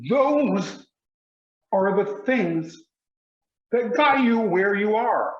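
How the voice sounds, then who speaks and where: A man, giving a motivational speech with emphatic, deliberate pauses. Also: high-pitched, expressive, roomy sound, muffled recording. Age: 40s. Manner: emphatic, slow deliberate delivery with dramatic pauses. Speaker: motivational speaker.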